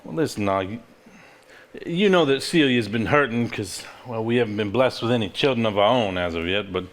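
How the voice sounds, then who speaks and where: A man's voice: mid-range voice, a bit bright. An actor on stage playing an earnest, level-headed married man who speaks seriously. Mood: earnest, troubled. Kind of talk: live stage play acting, reading aloud from a journal.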